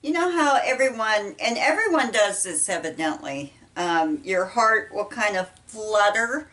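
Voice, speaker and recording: A middle-aged woman with a Midwestern American accent, chatting casually while she folds laundry, talking haltingly with many ums and restarts. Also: mid-range voice, lively intonation, sharp tone. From United States. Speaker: vlogger. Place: small indoor laundry room. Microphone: camera set apart from her. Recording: voice a bit far from mic.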